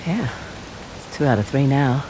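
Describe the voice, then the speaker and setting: Lively, wry Australian adventurer woman. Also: extremely low pitch, normal speed, background noise. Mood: teasing. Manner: teasing, dry, casual. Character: wry, playful adventurer woman with a wistful streak. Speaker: video game character. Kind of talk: naturalistic game dialogue.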